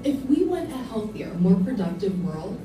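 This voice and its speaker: A woman's voice: medium pitch, sing-song, moderate pace, warm tone. A woman reads a polished, persuasive script for an explainer video. It plays through speakers and reaches the mic from far off in an echoey room. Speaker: video narrator. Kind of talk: scripted narration.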